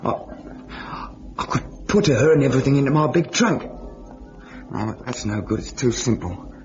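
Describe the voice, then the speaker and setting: An actor playing an earnest, hesitant young suitor who turns desperate, in old-time radio drama style. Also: male voice, mid-range voice, lively intonation, moderate pace, a little mellow, highs cut off, soft background music, lightly acted. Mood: anxious, agitated. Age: young adult. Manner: hesitant, stammering, pleading.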